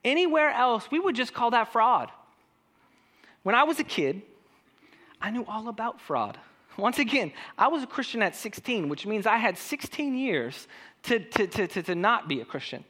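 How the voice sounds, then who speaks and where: A man with a high voice preaching a sermon, talking straight to the congregation and asking them one question after another. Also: very animated, moderate pace, pushed and bright. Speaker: pastor.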